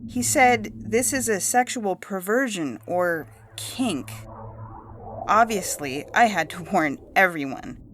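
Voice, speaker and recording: A woman's voice: medium pitch, expressive, takes it slowly, a bit bright, slight background noise. A woman playing a self-righteous, suspicious suburban mother, telling her tale in a gossipy, indignant way for an audio story. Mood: alarmed. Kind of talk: scripted audio fiction monologue.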